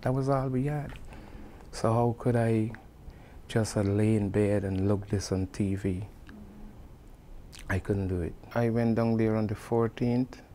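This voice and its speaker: A man's voice: lowish voice, dark tone, slight background noise. A middle-aged man with a Guyanese accent recalling personal experience in an earnest, emphatic way, repeating phrases to drive them home. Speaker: construction supervisor giving testimony. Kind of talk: personal oral-history recollection.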